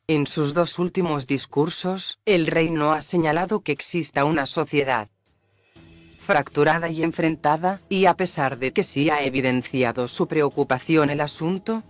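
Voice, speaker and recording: A man reading a scripted news report in a formal, measured way. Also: fairly high pitch. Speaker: news narrator.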